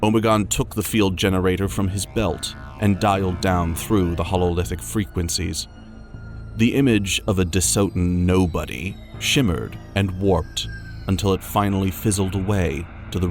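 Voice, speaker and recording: A man narrating a science-fiction audiobook in a dramatic, scripted storytelling style. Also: low voice. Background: music.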